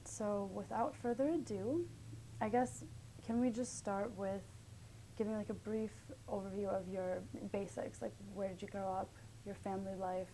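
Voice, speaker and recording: A young woman leads an oral history interview in a mellow voice, asking her questions tentatively with plenty of fillers. A faint low rumble runs beneath the recording. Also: fairly even intonation, moderate pace, poor audio.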